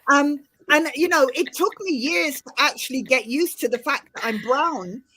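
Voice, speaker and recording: A middle-aged Irish woman with a fairly high voice hosts a relaxed panel conversation over a video call. Her accent has softened over the years, and she talks in a chatty, animated way, often restarting her sentences. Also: moderate pace, low-quality recording. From Ireland. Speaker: host. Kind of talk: casual panel chat.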